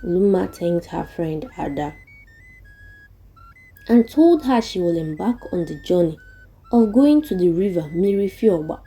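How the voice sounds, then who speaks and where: A Nigerian woman narrates a folktale with a very dark, soft tone that is husky at the edges. She takes her time, reading deliberately as a storyteller. Music plays clearly behind her voice. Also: on the low side, expressive. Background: background music.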